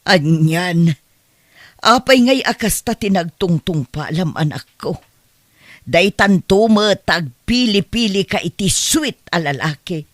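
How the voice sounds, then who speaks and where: A woman's voice: on the low side, animated, takes it slowly, no echo, studio quality. A woman playing a blunt, scolding middle-aged mother with an Ilocano accent in an audio drama. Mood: exasperated. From Philippines. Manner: exclamatory, chiding. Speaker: voice actor in comedy drama.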